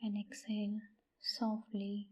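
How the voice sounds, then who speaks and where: A woman leading a guided meditation in a soft, breathy, near-whispered voice, with gentle phrasing and long pauses. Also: mid-range voice, monotone. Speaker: meditation guide, channel host.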